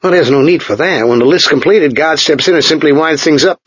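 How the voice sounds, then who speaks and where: A man's voice: a little high, fairly quick, slightly rough, no echo, voice pushed up. A man voicing a nervous, urgent American technician who talks in an anxious, pressing way in a storybook reading. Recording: dull lo-fi dry recording.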